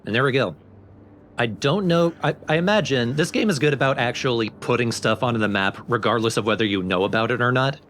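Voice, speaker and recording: A man's voice: mid-range voice, brisk, a bit bright, slight background noise. A man giving casual, explanatory gameplay commentary in an informal way. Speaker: let's play host and player.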